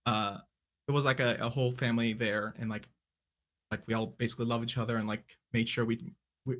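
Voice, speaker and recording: A man's voice: mid-range voice, sharp tone. A man speaking as a guest on a casual panel. He talks in a loose, rambling way, often backing up and restarting his sentences in a mid-range voice. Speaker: panelist, drag king streamer.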